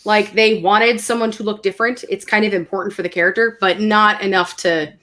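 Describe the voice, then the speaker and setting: A woman chatting casually, speaking in an opinionated, emphatic and animated way. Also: medium pitch, fairly quick. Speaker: guest film commentator. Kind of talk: casual chat.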